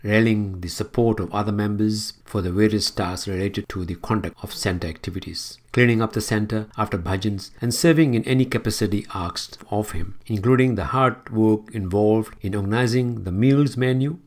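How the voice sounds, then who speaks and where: A man with a fairly low voice reads a formal introduction in a steady, measured way. He then moves into the questions for his interview.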